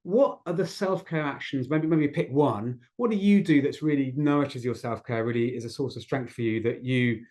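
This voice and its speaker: A man's voice: mid-range voice, normal speed, hardly any pauses, a little mellow. Man, mid-range voice, online group host, encouraging, appreciative, facilitating discussion. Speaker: host of an online community gathering. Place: online video call.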